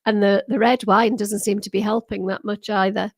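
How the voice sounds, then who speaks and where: A woman's voice: medium pitch, flat delivery, moderate pace, gravelly, no room sound, clean studio sound. A retired British woman in her sixties, chatting casually with viewers on a livestream, rambling with frequent ums, restarts and laughs, her voice a little worn. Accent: British English. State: slightly losing her voice. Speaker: livestream host. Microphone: desktop microphone.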